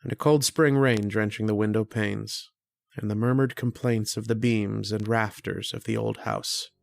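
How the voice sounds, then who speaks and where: A man as a gravelly, brooding student lodger. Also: fairly low pitch, fairly even intonation, dry recording. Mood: brooding, reflective. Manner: hesitant in dialogue, brooding and measured in narration. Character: polite, curious male student lodger narrating a ghost tale. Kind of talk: old-time radio horror drama narration.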